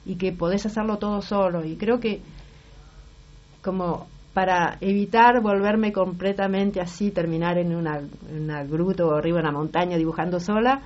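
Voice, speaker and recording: A woman's voice: fairly low pitch, normal speed, darkish tone. Rioplatense woman guest, muffled sound. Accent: Rioplatense Spanish. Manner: thoughtful, trails off and restarts mid-sentence. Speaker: guest, actress and singer. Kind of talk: casual interview talk. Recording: slightly muffled broadcast audio.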